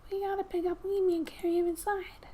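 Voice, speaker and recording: Soft, high-voiced woman, breathy and half-whispering. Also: level tone, fast, slight background noise. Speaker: gaming ASMR creator. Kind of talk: soft-spoken ASMR, reading game story aloud with casual asides. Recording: quiet background music. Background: soft music.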